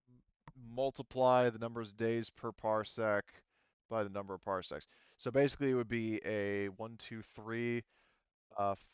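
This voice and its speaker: A man's voice: lowish voice, smooth, no room sound, highs cut off. A man refereeing a casual tabletop game as game master, hesitant and thinking aloud as he talks.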